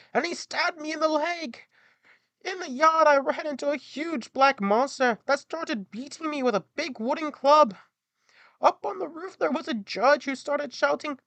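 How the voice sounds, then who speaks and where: A man's voice: extremely high pitch, a bit bright, no room sound, voice pushed up, full character voice. A man voicing a frightened robber, babbling out a frantic report in a storybook tale. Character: frightened male robber scout, panicked and babbling. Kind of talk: storybook character voice.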